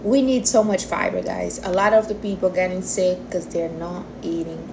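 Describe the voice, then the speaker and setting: A woman's voice: lowish voice, fairly even intonation, moderate pace, gravelly, background noise. A woman of forty chatting casually and informally in non-native English phrasing, like a host answering viewers. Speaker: livestream host answering viewer questions on diet. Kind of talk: casual chat.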